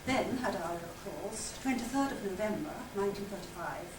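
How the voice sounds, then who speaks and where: A woman's voice: mid-range voice, expressive, fairly quick. An older woman giving a scripted literary presentation, reading out narration, letters and diary extracts. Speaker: presenter and reader at a literary society conference. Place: conference hall. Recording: echoey room, distant mic, loud noise.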